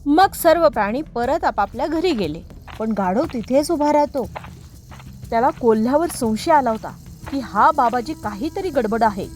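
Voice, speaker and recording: A woman's voice: high voice, expressive, normal speed, a little mellow. A woman storyteller narrating a scripted moral story for children.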